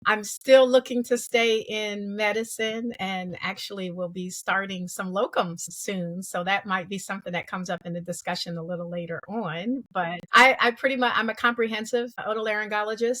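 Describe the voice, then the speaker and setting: A woman's voice: lowish voice, fairly bright tone, no echo. A woman in her fifties from the US East Coast, a surgeon telling her story fluently as a podcast guest. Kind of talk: conversational interview.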